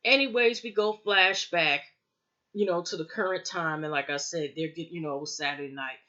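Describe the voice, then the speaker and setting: A woman's voice: lowish voice, moderate pace, pushed and bright, dull audio. A woman recapping a TV episode in a loose, rambling way, with lots of fillers and restarts. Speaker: TV show reviewer.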